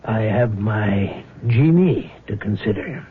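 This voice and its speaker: An actor playing a middle-aged, self-deprecating rich husband in a radio drama, hesitant, courteous and cryptic, with a husky voice. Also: male voice, on the low side, animated, slow pace, slight background noise. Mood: cryptic, brooding. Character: troubled wealthy betrayed husband, secretive and self-deprecating godfather. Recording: muffled old radio drama recording.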